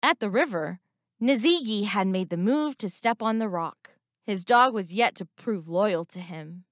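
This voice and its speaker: A woman reading a scripted moral story for children in a deliberate, expressive storytelling manner. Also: mid-range voice, no echo, clean studio sound. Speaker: narrator.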